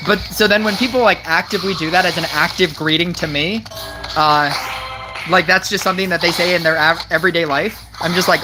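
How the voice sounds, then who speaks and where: Giggly rambling game streamer, noisy recording. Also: medium pitch. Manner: chatty, giggly, rambling with many fillers. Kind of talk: casual gameplay commentary with chat.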